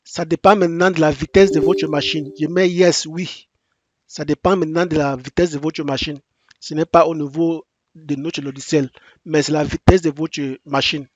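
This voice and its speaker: A man with a fairly high voice, recorded dry with no room sound, guides listeners through an installation. French is not his first language, so he speaks haltingly, restarts his phrases and repeats each instruction step by step. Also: fairly even intonation, no echo, muffled recording. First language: English. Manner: halting non-native French with frequent restarts. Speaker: software tutorial presenter. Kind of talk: screen-recorded software tutorial.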